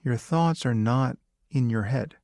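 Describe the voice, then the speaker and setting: Male meditation guide, lowish gravelly voice, calm and measured, lots of space between phrases, close dry sound. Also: no echo. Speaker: meditation teacher. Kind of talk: guided meditation, spoken instruction.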